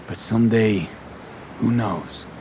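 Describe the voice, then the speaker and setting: A man's voice: lowish voice, slow pace, background noise. An actor playing a blunt, guarded young male mercenary in a cyberpunk video game, with terse streetwise phrasing. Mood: earnest, subdued.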